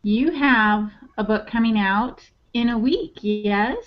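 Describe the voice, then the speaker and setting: A woman's voice: fairly high pitch, slow pace, lo-fi sound. A woman co-hosting a podcast as a writer, talking in a casual, conversational way.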